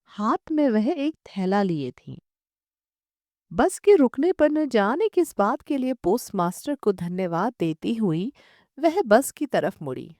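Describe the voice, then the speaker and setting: A woman's voice: fairly high pitch, big pitch swings, slow, mellow, dry recording, clean studio sound. A woman reading a literary short story as an audiobook narrator.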